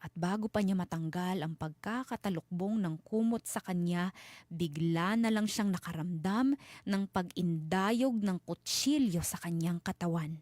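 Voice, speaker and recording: A woman storyteller giving a dramatic scripted horror narration with suspenseful, deliberate phrasing. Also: on the low side, darkish tone, no echo.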